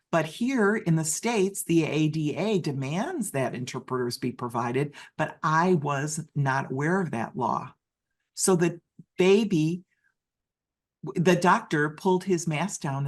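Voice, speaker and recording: Halting live interpreter voice. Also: medium pitch. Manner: halting phrasing with frequent restarts and self-corrections. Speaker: sign language interpreter voicing a deaf speaker. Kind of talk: live first-person interpretation of a personal talk.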